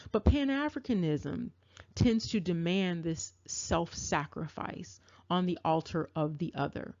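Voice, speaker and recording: A woman giving spoken commentary to her viewers, deliberate and emphatic, with a repetitive cadence. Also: fairly low pitch, darkish tone. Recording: dull lo-fi audio.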